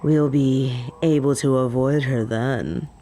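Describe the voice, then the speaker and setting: A woman's voice: rumbling low, fairly even intonation, takes it slowly, warm tone, slight background noise, pitched below the performer's own voice. A woman voicing a cocky, flirty female werewolf in a roleplay audio drama, possessive and teasing with a drawl. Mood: smug, coaxing.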